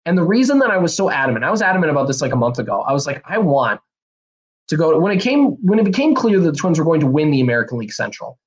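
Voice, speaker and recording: A young man, hosting a solo podcast in a rambling, energetic way, a bit hoarse and nasal from a cold. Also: on the high side, rapid-fire. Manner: rambling, energetic, many fillers and restarts. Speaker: podcast host. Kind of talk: casual solo podcast talk.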